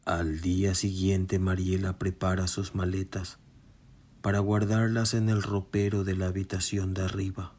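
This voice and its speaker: A man's voice: low-pitched, level tone, measured pace, a little mellow, slightly rough. A man giving solemn scripted narration for a radio drama. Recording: music behind.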